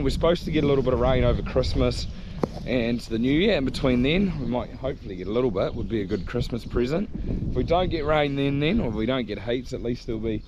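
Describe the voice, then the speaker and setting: A hoarse man talks in a relaxed, rambling way, thinking aloud as he walks his paddocks. His voice sits mid-pitch. He is outdoors, and a faint background noise runs under the camera audio. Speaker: farmer vlogger. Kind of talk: casual chat to camera.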